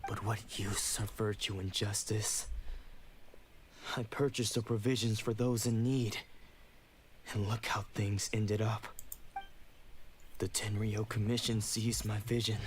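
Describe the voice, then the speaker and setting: A man voicing a troubled young swordsman in a game, sombre and measured in tone. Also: lowish voice, flat delivery, slight background noise. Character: troubled young male swordsman, weary and regretful.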